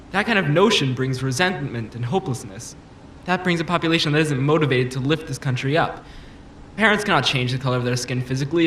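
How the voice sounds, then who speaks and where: A man's voice: mid-range voice, fairly bright tone, close to an everyday voice. An actor playing a self-assured, combative politician in an audio drama, pressing his argument with polished, insistent rhetoric. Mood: confident, persuasive. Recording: roomy echo, faint background noise.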